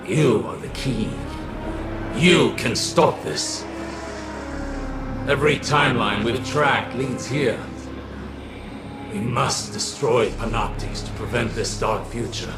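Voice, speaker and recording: Grave sci-fi game warlock, portentous, unhurried, echoing, loud music behind. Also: male voice, medium pitch. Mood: solemn, foreboding. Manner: solemn, portentous. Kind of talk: scripted dramatic game cutscene acting.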